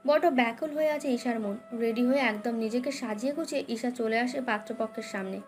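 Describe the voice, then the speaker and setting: High-pitched woman narrating a romance story. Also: fairly quick. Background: background music.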